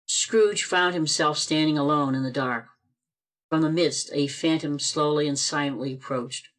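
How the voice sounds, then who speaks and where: Man, narrator, steady storytelling, slightly high voice, video call mic. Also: moderate pace. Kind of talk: radio play narration. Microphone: home video call mic.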